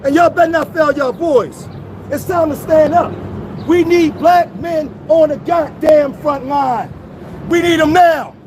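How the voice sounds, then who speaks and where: A man's voice: very high voice, a little slow, a crowd in the background, noisy background. A man giving an emphatic, profane speech at an outdoor street protest. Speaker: protest speaker. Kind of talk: impassioned street speech, then street chat.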